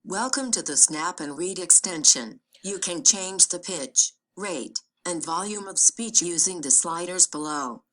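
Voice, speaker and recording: Casting: synthetic female reader, slightly low and forceful, robotic computer delivery for reading text aloud. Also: animated, measured pace, few pauses, low-quality recording.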